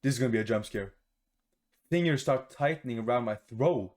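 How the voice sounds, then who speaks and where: A man reading a visual novel aloud for a gaming video in a casual, expressive, sweary way, adding his own playful reactions. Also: medium pitch, fairly quick.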